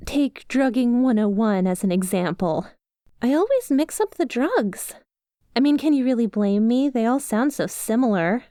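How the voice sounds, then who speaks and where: A woman's voice: a little high, mellow, no room sound, clean studio sound. A woman voicing a ditzy, self-deprecating young woman in an ASMR roleplay, chatty, confiding and flustered as she talks to the listener. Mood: embarrassed, exasperated.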